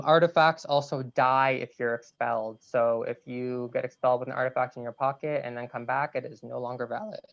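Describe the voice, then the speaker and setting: A man hosting an online game over a video call, explaining the rules in a relaxed way with frequent "um"s. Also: medium pitch, creaky. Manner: relaxed, many "um"s. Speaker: game host. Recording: slightly dull video-call audio.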